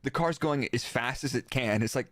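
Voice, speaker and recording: A man's voice: medium pitch, normal speed, a bit bright, no room sound, studio quality. An American man giving a casual technical explanation as a guest expert, acting out bits of dialogue as he goes. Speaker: guest, former Formula 1 performance engineer.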